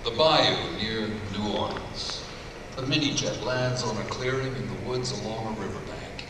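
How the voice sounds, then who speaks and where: A man with a fairly low voice narrates stage directions at a live script read. He sounds distant in a noisy, echoing hall. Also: normal speed. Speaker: voice actor reading stage directions. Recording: echoey noisy hall, far from mic.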